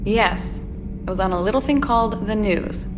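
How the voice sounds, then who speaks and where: A woman's voice: medium pitch, moderate pace, lightly acted. A woman playing an unfazed, opinionated ship's reporter in a video game, flippant and self-assured. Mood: defensive, flippant. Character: opinionated, unfazed young female crew reporter. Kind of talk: video game character dialogue. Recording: music behind voice.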